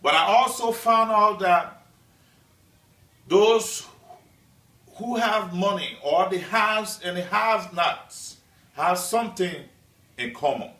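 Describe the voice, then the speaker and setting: Slow, measured man from Liberia. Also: high-pitched, lively intonation, pushed and bright. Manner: slow, measured delivery with deliberate pauses. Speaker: student presenter. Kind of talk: prepared class speech. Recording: echoey room, mic at a distance.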